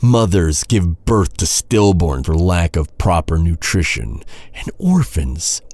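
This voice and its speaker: An actor playing a bitter, sardonic knight who taunts a royal captive with mock courtesy in a dark fantasy audio roleplay. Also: male voice, low voice, vocal fry, no echo, full character voice. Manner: sardonic, mock-courteous, brooding, with sighs and pauses.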